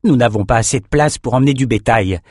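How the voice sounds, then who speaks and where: Man as a sensible, steady fantasy captain. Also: mid-range voice, fast, breathy edge, no room sound, studio quality, close to an everyday voice. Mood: firm, advising. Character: calm, sensible male military captain.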